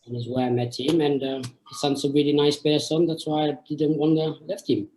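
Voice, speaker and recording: A man in the restaurant trade introducing himself in halting English, with frequent fillers. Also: fairly high pitch, little pitch movement, dark tone, rough recording. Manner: hesitant, non-native phrasing. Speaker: sommelier. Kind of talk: casual introduction.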